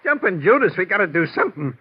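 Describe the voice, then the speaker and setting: A man's voice: sits high, sing-song, fast talker, slightly rough, full character voice. An American man playing a blunt, impatient, slangy police inspector in an old-time radio mystery. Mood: alarmed, exasperated. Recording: dull lo-fi vintage radio recording.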